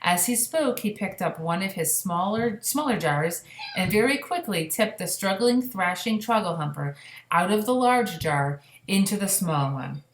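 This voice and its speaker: A woman reading a children's story aloud, pausing for casual explanatory asides to young listeners. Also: mid-range voice, animated. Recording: echoey room.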